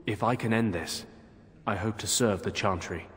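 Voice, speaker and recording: Actor as loyal male companion, lowish voice, sincere, subdued, echoing hall, game dialogue. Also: moderate pace, fairly bright tone, natural voice. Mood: earnest, devoted. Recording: long echoing hall reverb.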